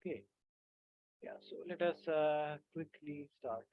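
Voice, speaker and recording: A man's voice: a little high, no room sound. Man teaching over tinny call audio. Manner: halting, many fillers, keeps checking with "right?". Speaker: physics teacher. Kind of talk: online class lecture. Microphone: video call.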